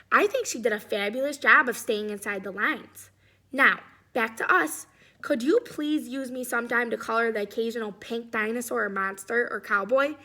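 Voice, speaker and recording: Storybook crayon, neglected and blunt, scolding in a high register, acted out by a woman reader. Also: sharp tone, full character voice. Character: bossy, slighted Pink Crayon demanding to be used.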